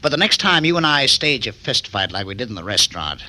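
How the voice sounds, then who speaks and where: An actor playing a detective's affable, wry helper in 1940s radio crime style. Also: male voice, medium pitch, sing-song, quick pace, bright, forceful tone. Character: affable, wryly complaining male accomplice of detective. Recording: faintly noisy 1940s radio recording.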